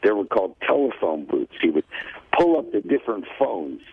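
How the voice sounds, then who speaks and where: Gruff croaky guy phoning a radio show, tinny line, swears, keeps butting in. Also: lowish voice, sing-song, moderate pace. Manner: profane, insistent, cuts in. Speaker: talent representative calling into a radio show. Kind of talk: casual phone-in chat. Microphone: telephone. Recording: muffled narrow phone line.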